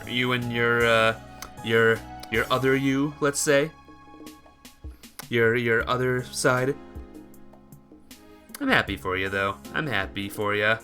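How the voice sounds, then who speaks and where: A man live-streaming a visual novel, reading the text aloud and breaking off for casual, halting asides to his viewers. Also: medium pitch, pushed and bright. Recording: music behind the voice.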